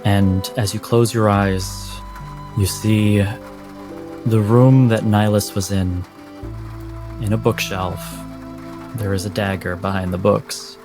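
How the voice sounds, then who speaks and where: A man narrating a tabletop game as game master in a measured, deliberate, dramatic style. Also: fairly low pitch, fairly even intonation, music in the background.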